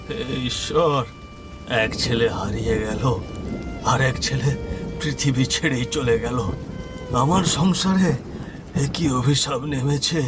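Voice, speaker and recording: A man's voice: mid-range voice, a little slow, pauses often, over music, pitched above the performer's own voice, close to an everyday voice. A man voicing an elderly, troubled father-in-law in a horror cartoon, with a sighing, concerned manner. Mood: anguished lament. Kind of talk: Bangla horror cartoon dialogue.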